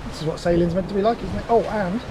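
A man's voice: sits high, quick pace. A man in his fifties, a sailing vlogger from the UK, talking to a camera held at arm's length in the cockpit of a yacht out at sea, with dry, self-mocking humour. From United Kingdom. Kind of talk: casual vlog narration and to-camera chat. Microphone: action camera at arm's length. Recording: outdoor camera audio with clear wind noise. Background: wind and water noise.

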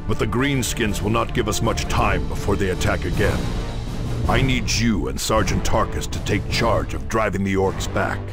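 A man voicing a resolute veteran captain of space soldiers in a video game. His voice is deep and his phrasing formal. He commands with stern, measured authority over loud music. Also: lightly acted. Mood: calm, commanding. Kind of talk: scripted video game dialogue.

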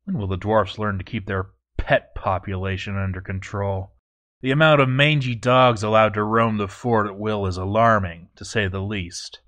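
A proud dwarf overseer, acted out by a man, narrates his fortress journal in a fairly low voice. He is formal and measured, with a self-important, dutiful air, as in a scripted fantasy story. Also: steady tone, a bit bright, natural voice. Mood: brisk, disapproving. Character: proud, stern male dwarf fort overseer, grandly dutiful.

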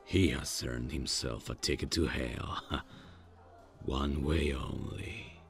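Man voicing a very deep, slow, gravelly demon. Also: soft background music, full character voice. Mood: dry, curious. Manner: slow, stilted, halting, deadpan. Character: awkward, menacing male demon on a blind date. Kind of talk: ASMR audio roleplay, comedic fantasy.

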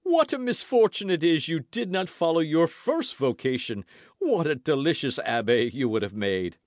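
A man voicing a boastful, loud musketeer in an audiobook, theatrical and full of exclamations. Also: fairly high pitch, very animated, normal speed, dry recording, clean studio sound, voice pushed up. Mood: gleeful, mocking. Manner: theatrical, exclamatory, swooping emphasis. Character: boisterous, boastful swaggering musketeer, loud and self-satisfied.

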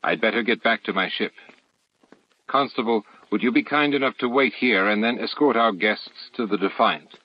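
A man's voice: lowish voice, moderate pace, no echo, lo-fi sound, lightly acted. A man voicing a composed, courteous male starship captain with a firm, polite manner, in an audiobook.

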